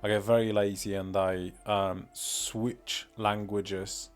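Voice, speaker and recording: A man's voice: on the low side, flat delivery, slow, clean tone, soft background music. A man with a Polish accent, a native Polish speaker, streaming casually and rambling with many fillers and self-deprecating remarks. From Poland. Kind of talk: casual live-stream commentary.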